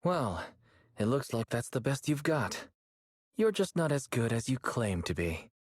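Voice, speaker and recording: An actor playing a calm, analytical, quietly cocky young man in a video game, with a husky voice. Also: mid-range voice, unhurried, no echo, studio quality. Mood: coolly taunting. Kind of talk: English video game voice acting.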